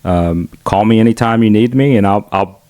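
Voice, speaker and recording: Middle-aged man, Southern accent, lowish voice, dry close sound, outgoing and chatty. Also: normal speed, dark tone, dry recording. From United States. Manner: outgoing, chatty, laughs while telling stories. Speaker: podcast guest. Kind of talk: casual conversational storytelling.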